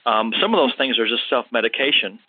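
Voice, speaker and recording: A man's voice: medium pitch, expressive, a little fast, husky, no echo. Man, health practitioner host, casual thoughtful advice, dry close sound, thin narrow audio. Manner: casual, thoughtful, many fillers. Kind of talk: answering a caller on a podcast.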